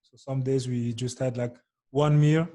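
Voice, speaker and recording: A man in his thirties from Congo who grew up in Belgium, speaking softly as a podcast guest and using many "you know" fillers. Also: medium pitch, steady tone, a little slow. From Congo, raised in Belgium. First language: Lingala. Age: 30s. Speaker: podcast guest, soccer player. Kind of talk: casual interview chat.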